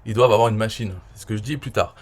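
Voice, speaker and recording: A man from France in his forties talking casually and at length, rambling with many fillers and often trailing off quietly. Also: fairly low pitch, steady tone, racing. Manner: rambling, frequent "euh" and "voilà", trailing off quietly. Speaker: online marketer reviewing his own interview. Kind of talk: casual explanatory monologue.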